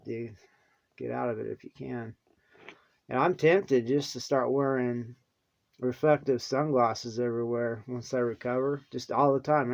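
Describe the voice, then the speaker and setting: A man talks to his viewers in a loose, rambling monologue. He restarts often and trails off into soft mumbles, then brightens again. His voice sits at a middle pitch. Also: gravelly. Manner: halting, many restarts and fillers, mumbles softly then perks up. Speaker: vlogger.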